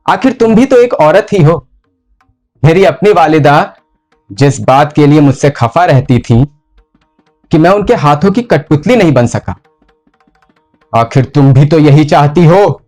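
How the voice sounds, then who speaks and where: A male actor playing a ruthless, tormented medieval sultan in a slow, deliberate theatrical monologue with heavy pauses. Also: on the high side, animated, a little mellow, soft background music. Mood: scornful. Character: brooding, ruthless, tormented medieval sultan confessing his murders. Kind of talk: dramatic theatrical Hindustani monologue.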